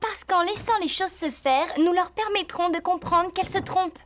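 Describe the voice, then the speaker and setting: A woman voicing an earnest teenage girl rider in a French cartoon dub, with expressive, emphatic delivery. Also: very high voice, normal speed. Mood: hesitant, matter-of-fact. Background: background music.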